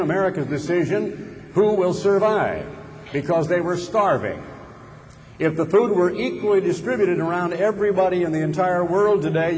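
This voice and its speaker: A man's voice: a little high, a little mellow. A man preaching a sermon, building his points with rhetorical questions and sudden emphatic rises. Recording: long hall reverb with faint ambient noise.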